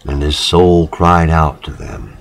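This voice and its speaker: A man giving a solemn literary reading, measured and dramatic, with pauses between short phrases. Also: a bass voice, flat delivery. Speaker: reader of poetic literature.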